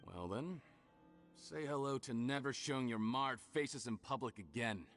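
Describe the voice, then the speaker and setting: Scheming male convict, bright forceful voice, cynical, condescending, video game villain, faint music behind. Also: medium pitch, lively intonation, moderate pace. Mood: mocking. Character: cynical, self-serving scheming escaped convict man with disfigured face. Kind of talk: video game dialogue acting.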